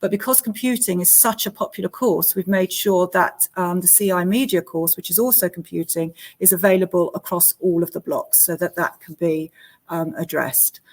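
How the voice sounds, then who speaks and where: A woman on a school's staff hosting an options evening, reading out parents' questions and explaining courses with many pauses. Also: on the low side, steady tone.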